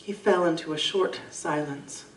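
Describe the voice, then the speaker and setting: A woman's voice: low-pitched, a little slow, slight background noise. A woman playing the narrator of a period gothic audio drama, recounting events in formal, literary storytelling. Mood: grave, recounting. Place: live convention performance in a room. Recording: roomy live stage recording, distant mic.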